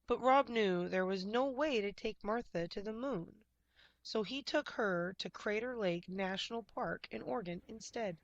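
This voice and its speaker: A woman reading a children's picture book aloud in expressive narration. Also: medium pitch, moderate pace, dry recording, lo-fi sound.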